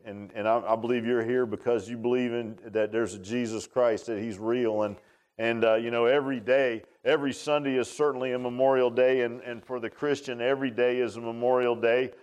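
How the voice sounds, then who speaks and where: Clear-voiced older American preacher, halting delivery. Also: male voice, medium pitch. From United States. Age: 60s. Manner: halting, folksy, conversational delivery with repeated words. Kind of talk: informal sermon. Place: speaking in a church.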